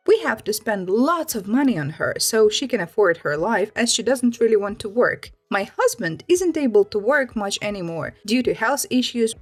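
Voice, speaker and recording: A woman hosting a channel, reading personal stories aloud in a scripted narration style and commenting on them in an expressive, opinionated way. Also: a little high.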